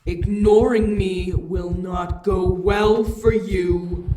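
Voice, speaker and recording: A woman's voice: on the low side, steady tone, drawn-out words, loud music behind the voice, rough recording. A woman playing a taunting, menacing female spirit with a mocking, theatrical manner in an audio roleplay. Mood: irked, menacing. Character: taunting, playful, menacing female spirit toying with her victim. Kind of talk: narrative audio roleplay acting.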